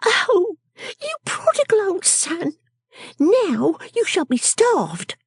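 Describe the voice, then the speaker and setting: Shrill, bossy little shrew girl. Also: sing-song, takes it slowly, dry recording, studio quality, full character voice. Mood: angry, snapping. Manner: scolding, snappish, emphatic. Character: shrewish, bossy, sickly young girl dressmaker, sharp-tongued. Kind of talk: storybook character acting.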